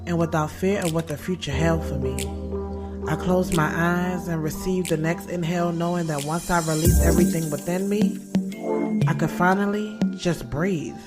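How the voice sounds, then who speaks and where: A woman's voice: on the low side, fairly even intonation, normal speed, loud music behind the voice, lightly acted. A woman playing a hopeful, candid author of about thirty in an audio drama, speaking in a casual, earnest way. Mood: calm, relieved. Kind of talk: first-person audio drama narration and radio interview dialogue.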